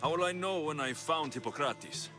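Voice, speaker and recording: An actor playing a stoic, curious ancient Greek mercenary hero in a video game, terse and direct. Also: male voice, a little high, normal speed, music in the background, natural voice. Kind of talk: video game cutscene acting.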